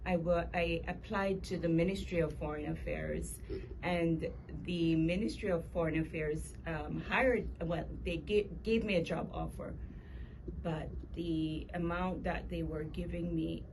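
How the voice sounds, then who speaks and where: A woman from Jamaica with a Jamaican accent, telling her story as a guest in an interview in a measured, articulate way. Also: fairly low pitch, steady tone. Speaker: guest author and lawyer. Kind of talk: interview conversation. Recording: noticeable background noise.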